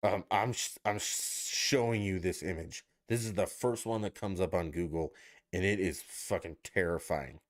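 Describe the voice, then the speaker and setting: A man's voice: low-pitched, moderate pace. A man co-hosting a podcast, asking crude questions and bragging in casual banter, a little tipsy. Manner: brash, joking, boastful.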